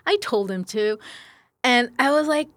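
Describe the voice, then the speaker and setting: A woman's voice: a little high, normal speed, no room sound. Woman guest chatting, from France. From born in India, grew up in France. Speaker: guest, style consultant. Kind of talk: casual interview storytelling.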